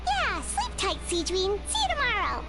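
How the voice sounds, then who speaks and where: Perky tiny female fairy sidekick for a game, extremely high, animated pitch, chatty, music behind. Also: normal speed, heavily acted. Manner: refers to itself by name, exclamatory. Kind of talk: scripted cartoonish game voice acting.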